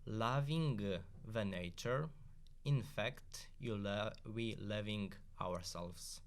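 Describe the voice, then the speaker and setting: A man in a student podcast, reading a prepared segment in halting, non-native English with frequent filler pauses. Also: lowish voice, background noise.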